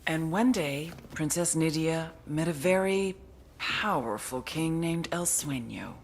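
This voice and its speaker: A woman acting a tough, foul-mouthed intelligence officer in a video game cutscene, with a deliberate, hard-edged, menacing delivery. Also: low-pitched, slight background noise. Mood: cold, menacing.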